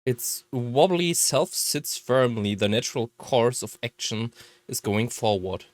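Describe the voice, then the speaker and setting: Slow-reading man narrating a visual novel. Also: medium pitch, animated, no echo. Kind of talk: expressive read-aloud narration of a visual novel.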